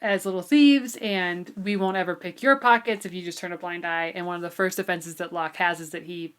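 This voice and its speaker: A woman's voice: fairly low pitch, fairly even intonation, a little fast, clean tone. A woman with an American accent co-hosting a podcast, talking about a book in a chatty, amused, informal way. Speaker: podcast co-host. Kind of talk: casual book discussion.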